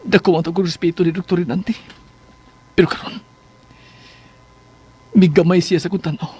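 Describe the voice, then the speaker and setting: A man playing a brooding, scheming lover in a radio drama, speaking in a slow, deliberate monologue. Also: high voice, steady tone, mellow, husky.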